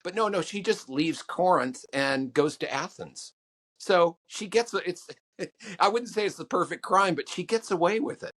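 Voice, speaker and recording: Bright-voiced man with halting speech. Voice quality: low voice. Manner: halting, many restarts and fillers. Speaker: songwriter guest. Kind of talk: podcast interview answers.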